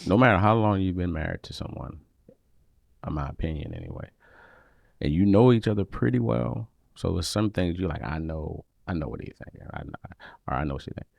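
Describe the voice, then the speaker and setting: A man with a deep, carrying voice co-hosting a casual podcast chat, animated and expressive, often restarting his sentences. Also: fairly quick, creaky, no echo, clean studio sound. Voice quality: deep voice that carries. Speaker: podcast co-host. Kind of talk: casual chat about marriage.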